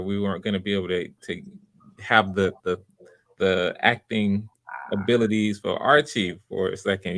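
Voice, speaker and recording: A man hosting a live audition stream in a casual, halting way, often repeating words as he thinks aloud. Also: on the low side, sharp tone. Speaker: host running a live voice audition.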